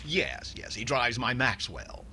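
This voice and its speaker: A man playing a fussy, penny-pinching, exasperated radio comedy star with deadpan, defensive delivery in classic sitcom style. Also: mid-range voice, unhurried, gravelly, heavily acted. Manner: deadpan, put-upon, defensive. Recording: very noisy recording with ambience.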